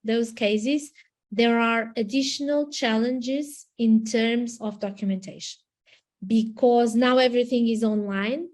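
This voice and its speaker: A woman's voice: fairly high pitch, darkish tone. A Portuguese woman lawyer with a Portuguese accent, answering webinar questions hesitantly with many restarts over a video call. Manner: hesitant, frequent restarts and repetitions. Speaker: immigration lawyer.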